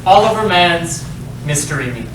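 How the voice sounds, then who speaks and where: Higher-voiced man reading stage directions. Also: lively intonation, moderate pace. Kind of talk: scripted narration at staged play reading. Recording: echoey noisy room from far off.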